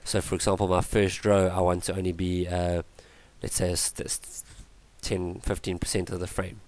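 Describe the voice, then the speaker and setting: A man's voice: low-pitched, level tone, moderate pace, darkish tone, no room sound. A man teaching a coding tutorial over a screencast, thinking aloud with fillers and self-corrections. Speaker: tutorial instructor.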